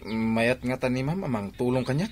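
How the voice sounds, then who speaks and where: A man's voice: medium pitch, moderate pace, darkish tone, slight background noise, close to an everyday voice. A man acting in a radio drama as an opportunistic driver and kept lover, casual and sly.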